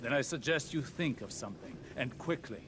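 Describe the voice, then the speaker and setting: A man playing a sharp-minded, stern older warrior scholar in a video game, terse and grave. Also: medium pitch, animated, fairly quick, background noise, lightly acted. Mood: grim.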